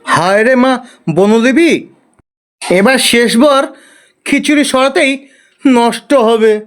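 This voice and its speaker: Man acting high-pitched, plaintive poor village farmer. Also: slow, fairly bright tone, exaggerated voice. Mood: sheepish, regretful. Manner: plaintive, exclaiming, earnest. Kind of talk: animated fairy tale acting.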